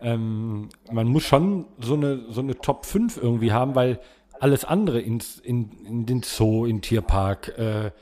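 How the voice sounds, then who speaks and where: A man chatting casually as a podcast co-host, with halting, hesitant phrasing. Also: mid-range voice, dark tone. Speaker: podcast co-host and band member.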